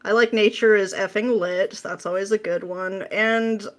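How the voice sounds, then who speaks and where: A woman's voice: medium pitch, fairly even intonation, moderate pace. A woman talking as a podcast guest in an informal, wry, joking conversational manner. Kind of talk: casual chat.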